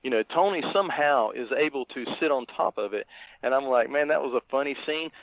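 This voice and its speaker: A man co-hosting a podcast, talking casually about movies in a halting, reflective way. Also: medium pitch, animated, vocal fry, dry recording. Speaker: podcast co-host. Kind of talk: casual movie review chat. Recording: thin phone-like line, dry.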